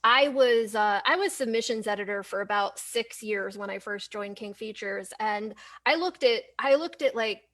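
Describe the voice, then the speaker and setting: A woman's voice: medium pitch, normal speed, hardly any pauses, a bit bright. A woman hosting a casual livestream interview in a conversational way, often repeating and rephrasing as she talks. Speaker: host, comics editorial director.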